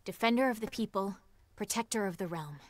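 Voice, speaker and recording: A woman's voice: mid-range voice, brisk, lightly acted. A woman playing an earnest young princess soldier in a video game, speaking in a formal, stately and resolute manner. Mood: proud, resolute.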